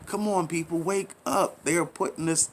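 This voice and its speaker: A man's voice: on the high side, fairly even intonation, moderate pace, a little hiss or hum. Preachy man delivering a rambling monologue. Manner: preachy, repeats himself, pleading with listeners; rhetorical questions, casual slang. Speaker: commentary channel host. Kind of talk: impassioned monologue.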